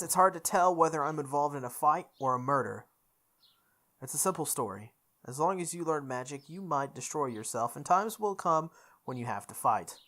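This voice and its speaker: A woman playing through a visual novel, reading its text aloud with expressive, goofy casual commentary, sometimes correcting her stumbles. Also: deep voice. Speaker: host of a visual novel playthrough.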